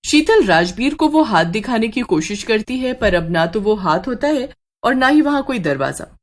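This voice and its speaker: A woman's voice: on the high side, big pitch swings, normal speed. A woman storyteller reading a scripted horror story.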